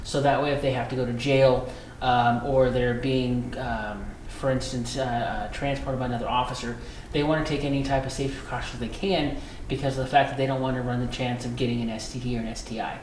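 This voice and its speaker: American male interviewee, mid-pitched, explanatory, halting conversational delivery; roomy sound. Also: fairly even intonation, darkish tone. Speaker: police dispatcher being interviewed. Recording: echoey room with faint background noise.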